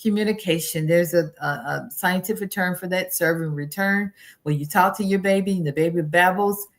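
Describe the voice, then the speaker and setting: A woman's voice: lowish voice, normal speed. A middle-aged woman speaking as a guest expert, giving earnest, instructive advice in a conversational interview style. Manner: earnest, instructive, listing practical tips.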